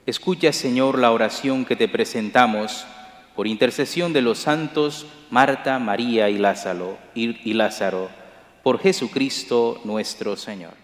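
A middle-aged priest celebrating Mass at the altar, reciting liturgical prayers in a measured, solemn way. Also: male voice, medium pitch. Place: large church sanctuary, at the altar. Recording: echoey church with long reverb.

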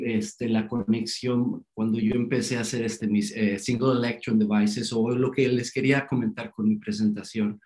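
A man's voice: medium pitch, darkish tone. A man giving an informal scientific talk over a video call, peppering his speech with "este" fillers. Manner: casual, filler-heavy, says "este" a lot. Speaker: guest lecturer. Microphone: video call microphone.

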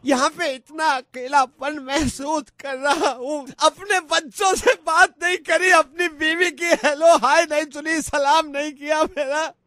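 A man's voice: extremely high pitch, normal speed, pushed and bright, no echo. A man voicing an insistent, sentimental, homesick migrant worker in a prank phone call on radio. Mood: tearful pleading. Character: pleading, homesick male migrant labourer phoning his wife.

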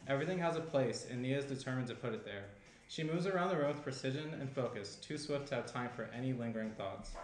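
A man's voice: mid-range voice, fast talker. A young man reading scripted screenplay narration as the narrator. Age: young adult. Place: small indoor room at a round table. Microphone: shared desk microphone. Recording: roomy echo, faint noise.

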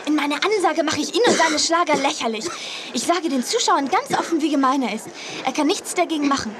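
An actress playing a touchy, vain young would-be TV announcer in a children's radio play. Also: female voice, high voice, lively intonation, brisk. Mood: defiant. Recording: noisy old cassette radio play.

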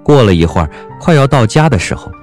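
A man narrating a story as an audiobook narrator, in a scripted, expressive and measured dramatic style. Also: medium pitch, faint music behind.

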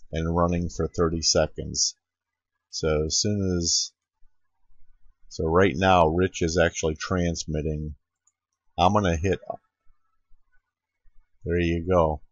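A man giving an unscripted screen-recording tutorial as an amateur radio operator, explaining step by step in a halting way. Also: low voice, little pitch movement, no echo. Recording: dull, lo-fi home computer recording.

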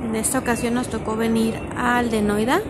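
A woman's voice: a little high, little pitch movement, normal speed, noisy background. A Mexican woman in her thirties, vlogging and talking straight to her viewers about business, filmed on a handheld phone. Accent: Mexican Spanish. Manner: chatty, explanatory, addresses viewers directly. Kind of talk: casual travel vlog narration. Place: parked car, then indoor expo hall. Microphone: phone camera at arm's length.

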